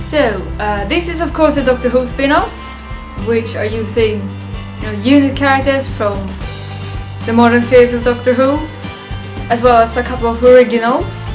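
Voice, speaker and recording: A woman's voice: a little high, normal speed, music in the background. A young woman talking casually and chattily to her viewers as a video reviewer, giving commentary on a list. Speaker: YouTube reviewer.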